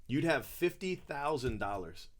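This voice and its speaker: A man's voice: medium pitch, big pitch swings, a little slow, a little hiss or hum. A man hosting a sports card break, chatting casually, laughing often and calling out player names as he opens packs.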